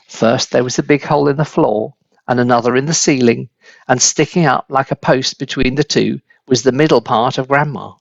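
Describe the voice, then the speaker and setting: Male storybook narrator, gravelly, expressive, deliberate, dry slightly dull recording. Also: medium pitch, no room sound. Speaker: storybook reader.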